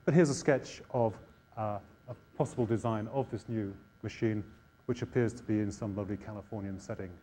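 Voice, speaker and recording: A man's voice: on the low side, steady tone, fairly quick, dark tone, slight background noise. A man with a Scottish accent giving an informal public lecture. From Scotland.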